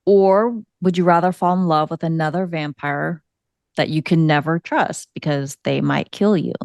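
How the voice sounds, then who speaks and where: A woman's voice: low-pitched, moderate pace, vocal fry, darkish tone, no echo, clean studio sound. A woman chatting casually as host of a group discussion, putting questions to the others. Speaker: podcast host asking panel questions.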